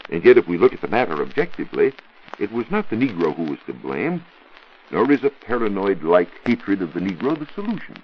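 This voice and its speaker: A man's voice: fairly low pitch, sing-song, brisk, a little hiss or hum. An American man working as a science reporter, explaining in a formal, didactic way in a scripted 1940s educational radio talk. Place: radio studio. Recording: muffled 1940s radio recording.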